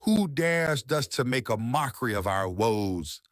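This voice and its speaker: A man voicing an outraged, imperious prince in a gothic tale, with a commanding, deliberate delivery. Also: medium pitch, animated, no room sound, studio quality, natural voice. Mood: angry, commanding. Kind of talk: dramatic gothic storytelling.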